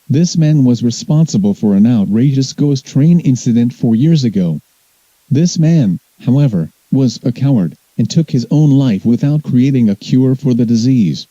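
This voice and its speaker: Man acting a very dark-voiced, grave President. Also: medium pitch, no room sound, dull audio, close to an everyday voice. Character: grave male US President addressing the nation. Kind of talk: formal scripted emergency address.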